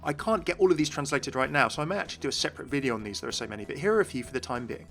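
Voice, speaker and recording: A man's voice: medium pitch, lively intonation, very fast, vocal fry, a bit bright, faint music behind. A man hosting a scripted game lore explainer, addressing viewers directly and with enthusiasm. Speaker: video host, game lore commentator.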